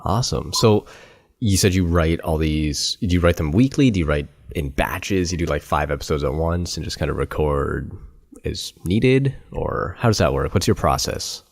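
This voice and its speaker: A man's voice: extremely low pitch, animated, normal speed, creaky, no room sound, studio quality. A man hosting a podcast, introducing and interviewing a guest. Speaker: podcast host.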